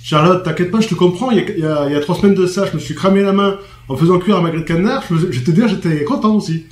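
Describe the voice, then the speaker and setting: A man with a hoarse voice from a cold talks as a guest on a panel, his voice a little high for a man. He stalls and restarts his phrases, and the room around him is echoey with a faint hiss behind. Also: darkish tone. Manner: halting, many restarts. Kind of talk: casual studio discussion.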